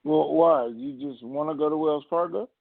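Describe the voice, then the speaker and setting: A man with a fairly high, gravelly voice hosts a call-in line. He talks casually in a plain, folksy way. The sound is narrow and dry, like a telephone. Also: flat delivery, moderate pace, dry recording. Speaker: host of a call-in conference call. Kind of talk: casual unscripted phone talk with callers. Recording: muffled narrow phone line.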